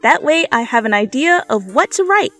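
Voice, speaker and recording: A woman's voice: high voice, very animated, moderate pace, fairly bright tone. A woman reading fanfiction as a channel host, with dramatic narration and goofy, self-mocking, casual asides. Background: faint music.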